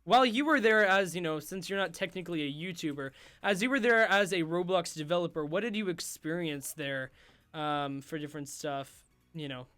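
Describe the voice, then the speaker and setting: A young man hosting a gaming livestream, rambling with lots of fillers and going hoarse. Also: on the high side, normal speed, a bit bright. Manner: rambling, filler-heavy, self-interrupting. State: losing his voice. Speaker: host. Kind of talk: casual livestream chat while gaming.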